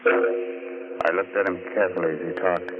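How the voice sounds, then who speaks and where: An actor playing a macabre horror host and narrator, theatrical and tongue-in-cheek, in 1940s radio style. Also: male voice, deep voice, level tone, normal speed, a little hiss or hum, lightly acted. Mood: eerie storytelling. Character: macabre, sardonic horror host telling his ghostly tale. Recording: tinny 1940s radio recording with music.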